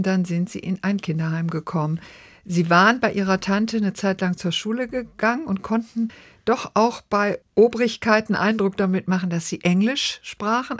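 A woman interviewer at an ordinary pitch, recorded close and dry with no room sound. She sums up her guest's past and prompts him with attentive questions. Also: no room sound.

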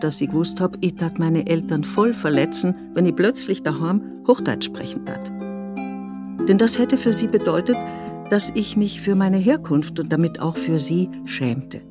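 A woman from Tyrol, narrating a documentary feature in a measured, reflective way. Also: fairly low pitch, expressive, music in the background. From Tyrol, Austria.

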